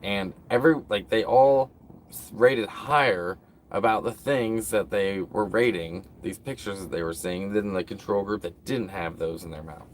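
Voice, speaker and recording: A man's voice: fairly low pitch, normal speed, a little hiss or hum. A man hosting a casual livestream, rambling to his viewers with lots of fillers. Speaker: livestream host.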